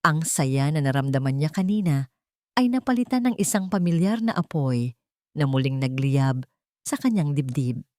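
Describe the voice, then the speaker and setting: Female audiobook-style narrator, slightly low register, deliberate dramatic delivery, dry close recording. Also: animated, darkish tone, no room sound. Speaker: story narrator. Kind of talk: scripted dramatic story narration.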